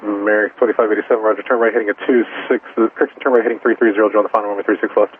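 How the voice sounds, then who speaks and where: A man speaks as an air traffic controller in a very even, lowish voice. His instructions are clipped and procedural, heard through a tinny, narrowband radio link. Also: rapid-fire. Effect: aviation radio.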